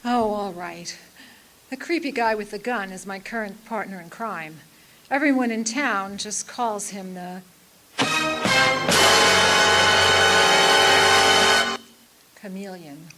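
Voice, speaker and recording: An actress playing a brazen, scheming femme fatale in a noir parody radio drama. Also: female voice, medium pitch, unhurried, noisy background, lightly acted. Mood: grudging.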